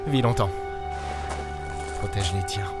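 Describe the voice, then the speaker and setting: Brooding starship captain over music. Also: male voice, fairly low pitch, slow pace. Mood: sombre, wistful. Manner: short, broken, weighty phrases. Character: world-weary male starship captain, brooding and bitter. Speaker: lead character and narrator. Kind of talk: dramatic audio fiction narration. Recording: music behind the voice.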